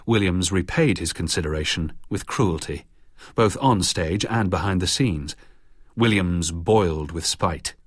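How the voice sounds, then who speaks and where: A man reads scripted documentary narration at a measured, steady pace. His voice sits a little low with a slightly husky edge, recorded close and dry with no room around it. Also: no echo. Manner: measured, even reading.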